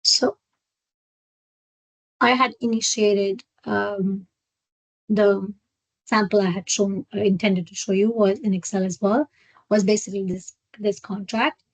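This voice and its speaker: A woman presenting a live software walkthrough as a trainer, explaining step by step in a halting way. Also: mid-range voice, fairly even intonation, husky, no room sound, rough recording. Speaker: software trainer hosting a webinar. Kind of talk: live screen-share product walkthrough.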